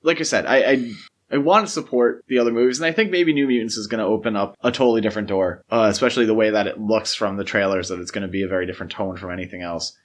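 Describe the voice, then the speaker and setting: A man's voice: on the low side, steady tone, racing. A man co-hosting a podcast in casual film discussion, giving opinionated, emphatic points and often qualifying them as he goes. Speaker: podcast host.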